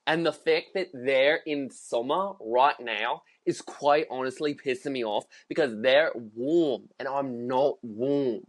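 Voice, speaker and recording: A man's voice: medium pitch, slow, hardly any pauses. Give me a campy man in a mock Australian accent. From United States. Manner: campy, theatrical, playful banter. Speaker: podcast co-host. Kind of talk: casual chat.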